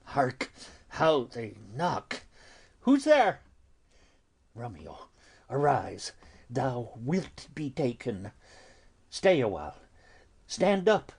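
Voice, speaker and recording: Shakespeare audio drama: exasperated holy friar played by a man, breathy husky voice, drawn-out deliberate phrasing, highly varied intonation. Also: wildly swooping pitch, exaggerated voice. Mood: urgent alarm. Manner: slow, deliberate, dramatic, dropping into hushed breaths. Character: stern, exasperated male friar counselling a youth. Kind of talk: classical stage tragedy in audio.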